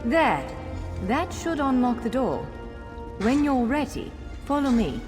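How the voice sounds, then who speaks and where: Fantasy game guide: a courteous, wry elf woman scholar, higher-pitched and expressive, music underneath. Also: slow, heavily acted. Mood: brisk, friendly. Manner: articulate, formal but playful. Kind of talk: video game character dialogue. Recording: long hall reverb over music.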